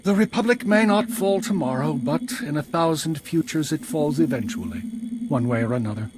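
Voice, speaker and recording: An actor playing a wise, solemn Jedi master and mentor in a video game, speaking in a measured, earnest way. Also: male voice, fairly high pitch, animated, close to an everyday voice. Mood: solemn, prophetic. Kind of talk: scripted video game dialogue. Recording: loud background ambience noise.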